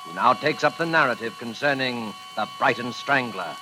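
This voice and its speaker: A man's voice: mid-range voice, expressive, moderate pace, background noise. A man announcing a show in formal, polished, dramatic 1940s radio style. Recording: old radio broadcast. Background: loud dramatic music.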